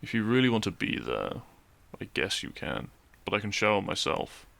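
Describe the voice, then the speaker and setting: A man playing a depressed young guy, low creaky voice, dryly teasing, awkward and hesitant, intimate audio drama. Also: sing-song, normal speed, fairly bright tone, heavily acted. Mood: dry, exasperated. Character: depressed young man, dry-witted, awkward, confessing to best friend. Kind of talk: intimate audio roleplay acting.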